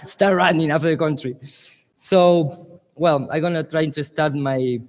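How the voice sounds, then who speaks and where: A man from Argentina, a native Spanish speaker, gives a joking talk on stage in halting English with an Argentinian accent. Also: on the high side, steady tone. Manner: playful, laughing, halting non-native English. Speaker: conference speaker. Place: large conference hall. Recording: reverberant hall sound.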